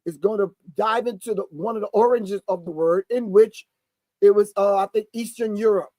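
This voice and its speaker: A man in his sixties hosts the show, pausing a video to comment. His voice sits high for a man, and he preaches with emphasis, repeating phrases for effect. The sound is close and dry, with no room around it. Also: mellow, no room sound, poor audio. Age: 60s. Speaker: host. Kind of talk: live commentary reacting to a video.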